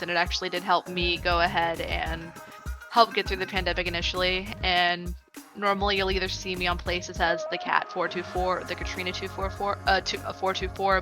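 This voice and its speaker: A woman's voice: lowish voice, sharp tone. A woman with a typically feminine voice, speaking earnestly on a panel. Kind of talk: casual panel talk.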